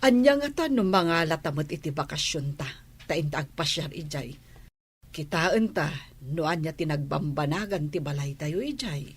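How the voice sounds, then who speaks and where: Woman acting as caring mother, low husky voice, radio drama dialogue. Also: animated, moderate pace. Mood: chatty. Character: caring older mother, chatting with child and friend.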